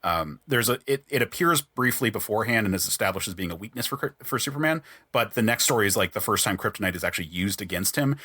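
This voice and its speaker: A man's voice: fairly low pitch, expressive, fast, hardly any pauses, pushed and bright, husky, dry recording, studio quality. A man hosting a podcast, chatting casually in an analytical, wordy way. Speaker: podcast host.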